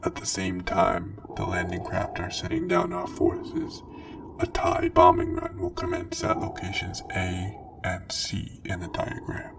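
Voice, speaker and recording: A man voicing a menacing armored dark lord who gives formal battle orders in a measured, breathy ASMR roleplay. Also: sits low, huge pitch swings, far from the mic, over music, heavily acted. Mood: stern, measured. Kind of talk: soft ASMR roleplay, scripted military briefing.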